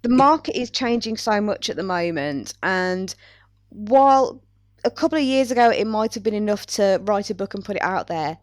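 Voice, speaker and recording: A woman's voice: medium pitch, no room sound, clean studio sound. A woman hosting a podcast, talking casually in a chatty, self-deprecating way. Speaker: podcast host.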